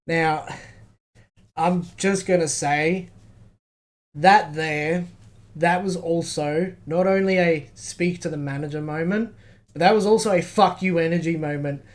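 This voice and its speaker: A man's voice: a little high, fairly even intonation, a little slow. An Australian man co-hosting a podcast with informal, off-the-cuff, sweary chat. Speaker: podcast co-host.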